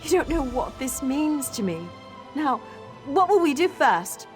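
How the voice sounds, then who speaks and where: A woman's voice: high-pitched, sing-song, a little slow, over music. An actress voicing an earnest, sheltered young elf woman in a fantasy game, emotionally open and dramatic. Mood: playful.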